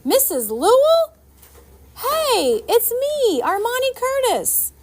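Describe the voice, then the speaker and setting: A woman's voice: very high-pitched, huge pitch swings, takes it slowly, voice pushed up, full character voice. A woman voicing a plucky Southern girl in a story, calling out in an urgent, outspoken way.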